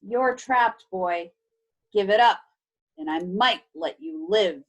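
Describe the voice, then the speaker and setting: A woman's voice: medium pitch, animated, slow, voice pushed down. A woman voicing a menacing, boastful, greedy male villain in a storybook read-aloud. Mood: threatening. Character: sinister gloating male villain, growling and greedy.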